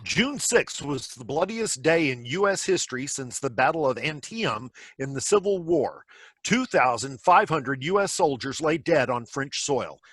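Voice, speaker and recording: A man's voice: mid-range voice, sing-song, normal speed, fairly bright tone, husky, no room sound. A man playing a solemn, factual wartime news announcer in a table read of a stage play over a video call. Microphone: computer mic on a video call.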